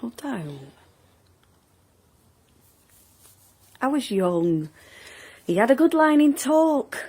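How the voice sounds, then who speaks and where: A woman's voice: sits high, very animated, slow, dark tone, slightly rough, heavily acted. An actress playing an older, plain-spoken woman in a naturalistic audio drama, wistful and wry, sometimes hesitant.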